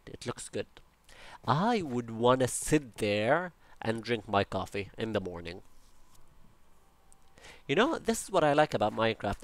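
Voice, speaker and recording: A young man with an Arabic accent narrates his building session over gameplay in a relaxed, chatty way. He rambles, jokes and mutters to himself, in a lowish voice recorded close on a desktop mic. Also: dry recording. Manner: chatty, rambling, jokey asides and self-talk. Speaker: Minecraft building channel host. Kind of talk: casual gameplay commentary.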